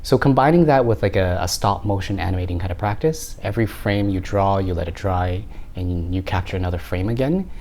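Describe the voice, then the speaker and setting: A man's voice: low-pitched, creaky, a little mellow, a little hiss or hum. A man in his thirties from Canada, an artist talking through his work in an interview, thoughtful and often restarting his words. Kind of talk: reflective interview talk.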